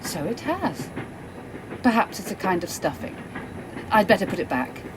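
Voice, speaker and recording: An actress plays a kindly, concerned lady traveller in an amateur radio drama. She is polite, with a lively, swinging intonation at a middle pitch. A train rumbles noisily behind. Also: normal speed, background noise, natural voice. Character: Carol, kindly, well-spoken, concerned lady passenger. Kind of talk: amateur radio play acting.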